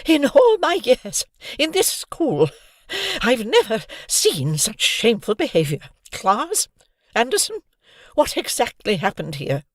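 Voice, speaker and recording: A narrator voicing a stern middle-aged deputy headmistress who scolds in a sharp, commanding tone. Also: medium pitch, wildly swooping pitch, slow, dry recording, clean studio sound, voice pushed up. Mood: stern scolding.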